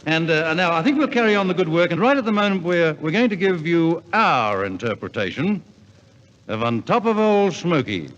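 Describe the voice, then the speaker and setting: A jovial man hosts a radio variety show in a chatty, informal way. His pitch is slightly high and moves around a lot. The sound is a muffled 1950s broadcast. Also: sing-song, moderate pace, fairly bright tone, big-room sound.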